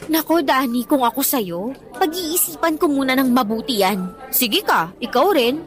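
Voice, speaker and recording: A woman's voice: high voice, animated, moderate pace, faint music behind. An actress playing a spoiled, insolent teenage schoolgirl with a Filipino accent in a Tagalog radio drama, taunting and slipping in English. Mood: smug, threatening. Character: spoiled, insolent teenage schoolgirl who blackmails her teacher.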